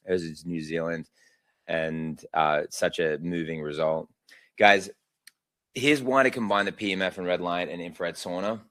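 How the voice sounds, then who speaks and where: A man's voice: low-pitched, a bit bright, no echo. A man hosts a live online presentation. He talks through his slides in a loose, chatty way with plenty of fillers. The sound is dry and close. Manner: casual, chatty, many fillers. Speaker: webinar host.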